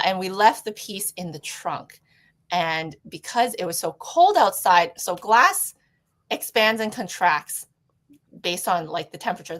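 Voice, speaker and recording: A woman's voice: medium pitch, a bit bright. A young woman from Toronto, whose first language is Cantonese, talks casually as a podcast guest, thinking aloud with many fillers and restarts. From Toronto, Canada. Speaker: guest artist.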